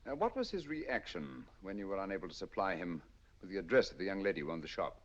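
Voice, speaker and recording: A commanding, middle-aged master detective who speaks fast and with crisp diction. His pitch rises and falls with lively energy. The sound is dull and lo-fi, like an old 1940s film.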